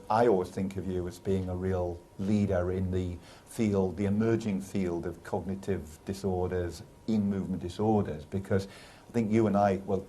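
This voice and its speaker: A man from the United Kingdom interviews a colleague in a low, mellow voice. He asks encouraging questions, often hesitating and restarting, and a faint room hum sits behind him. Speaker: interviewer, neurologist. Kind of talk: oral history interview.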